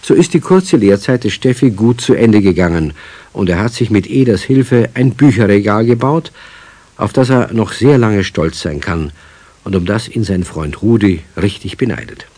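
A man with a husky voice, narrating a scripted children's story. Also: lowish voice, expressive, moderate pace, dark tone.

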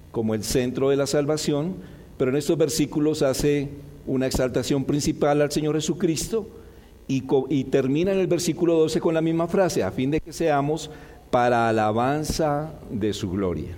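Slightly hoarse preacher in a reverberant hall. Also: male voice, mid-range voice, mellow. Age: middle-aged. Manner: didactic, repeats and lists key points in measured phrases. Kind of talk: expository Bible sermon. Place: large church hall.

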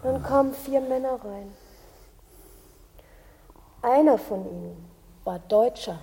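A woman's voice: fairly high pitch, takes it slowly, creaky, warm tone. An actress playing a traumatised young girl deportee in a radio play, insisting that she is a woman now. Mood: shaken recounting. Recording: reverberant hall, noisy background.

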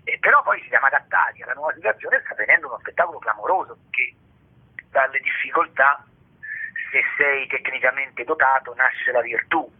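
Middle-aged or older man, combative ironic interviewee, raspy voice over a narrow telephone connection. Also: medium pitch, expressive, fairly quick. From Italy. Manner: polemical, ironic, emphatic. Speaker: theatre artist being interviewed. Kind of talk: radio interview. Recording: narrow tinny phone line.

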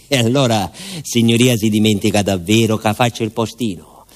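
A man's voice: lowish voice, normal speed, natural voice. A male actor playing an older, garrulous village postman in a radio theatre monologue, with a southern Italian accent and a rambling, folksy, naively proud way of telling stories. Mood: proud, explaining. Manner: rambling, folksy, repeats himself, addresses listener deferentially. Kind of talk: theatrical storytelling monologue in colloquial dialect-tinged speech.

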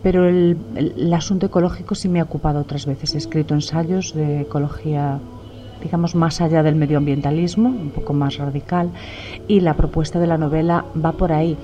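A middle-aged woman from Galicia, a novelist answering interview questions in a measured, reflective way, pausing often with "eh". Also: low voice, fairly even intonation, dull audio, background noise. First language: Spanish. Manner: measured, reflective, many "eh" pauses.

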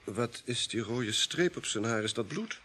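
A man acting in a radio drama as a hesitant, evasive police inspector who speaks politely and haltingly. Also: mid-range voice, lively intonation, bright, forceful tone, breathy edge, exaggerated voice. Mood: uneasy, questioning.

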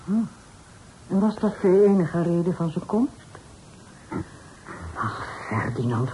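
A woman's voice: sits low, warm tone. An actress playing a worried, reproachful mother who sighs and pleads formally in a period radio drama. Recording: noisy old radio play recording.

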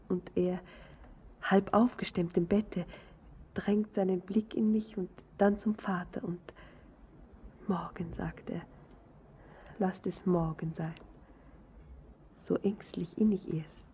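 Radio drama: shy young bride, breathy and hushed, slow delivery, slight background noise. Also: female voice, lowish voice, dull audio. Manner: breathy, hushed, halting phrases. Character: tender, self-doubting young bride-to-be, full of wonder. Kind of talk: classic German radio drama acting.

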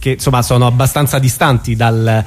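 A man's voice: mid-range voice, fairly even intonation, fairly quick, a little mellow. A man hosting a casual radio music interview, with informal, hesitant phrasing.

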